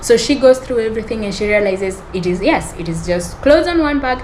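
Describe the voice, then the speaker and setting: A woman's voice: a little high, lively intonation, fairly quick, darkish tone, slight background noise, low-quality recording. A woman hosting a podcast, telling a personal story in a rambling, self-correcting way and often laughing. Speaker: podcast host. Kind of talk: storytelling monologue.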